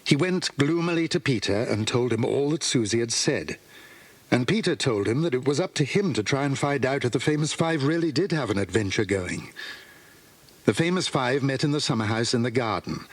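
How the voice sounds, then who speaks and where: A man's voice: medium pitch, husky. A man narrating a scripted children's story.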